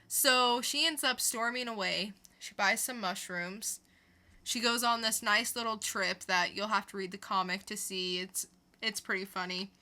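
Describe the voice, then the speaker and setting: A woman's voice: medium pitch, measured pace, sharp tone. A woman co-hosting a podcast, chatting casually through a comic book review in an informal storytelling way. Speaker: podcast co-host.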